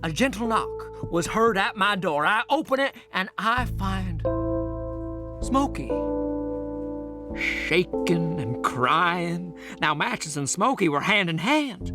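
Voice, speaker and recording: An actor playing a folksy, chatty, overly friendly small-town Western sheriff in an audio drama, with a gossipy, theatrical way of talking. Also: mid-range voice. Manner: effusive, gossipy, theatrical storytelling. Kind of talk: audio drama character acting.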